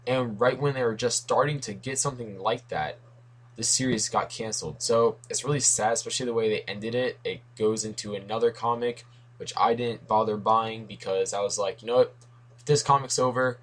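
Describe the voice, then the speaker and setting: A young man hosting a comics talk video in a casual, rambling way, his voice worn from losing it this week. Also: lowish voice. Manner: rambling, self-correcting, lots of asides.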